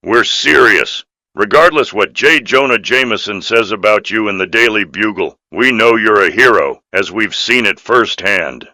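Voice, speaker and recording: A man's voice: lowish voice, moderate pace, bright, forceful tone, no echo, studio quality. A man voicing an earnest, dutiful police officer in video game dialogue, firm and official in manner.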